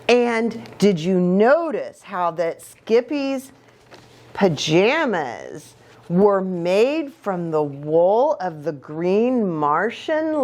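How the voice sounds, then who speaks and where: Slow, animated woman storyteller. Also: fairly high pitch. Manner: dramatic, child-directed. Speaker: pastor reading a children's story. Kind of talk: expressive storybook narration.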